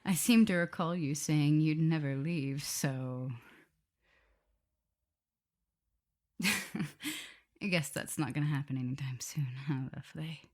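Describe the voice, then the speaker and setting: A woman playing an affectionate, teasing vampire mistress in an intimate ASMR roleplay, speaking softly and tenderly with a breathy, husky voice. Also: sits low. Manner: soft, unhurried, tender, gently teasing. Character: affectionate, teasing female vampire mistress and professor, doting lover.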